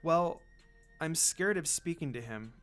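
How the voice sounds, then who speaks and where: A man voicing a gentle, anxious young woman heroine in a story reading, soft and hesitant. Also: on the high side, animated, unhurried, faint music behind. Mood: worried.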